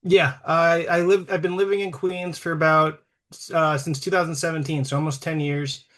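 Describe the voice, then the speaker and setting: A man's voice: fairly high pitch, little pitch movement, moderate pace, a bit bright. A man in his thirties from Long Island, a musician being interviewed, talking in a friendly, easygoing way. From Long Island, New York. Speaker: guest musician. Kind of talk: casual interview talk.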